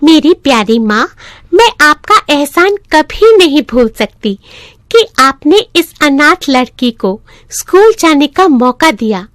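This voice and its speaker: Woman as an earnest high-voiced orphan schoolgirl. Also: sing-song, slow pace, a bit bright, dry recording, studio quality, heavily acted. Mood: grateful. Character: poor, proud, hardworking orphan schoolgirl, polite and grateful.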